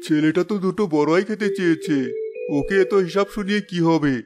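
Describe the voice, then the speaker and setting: A man acting an indulgent village dad in a cartoon. He sounds reassuring and plain-spoken, pitched a little high for a man, with music clearly playing behind. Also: a little fast, lightly acted. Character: easygoing, indulgent village father.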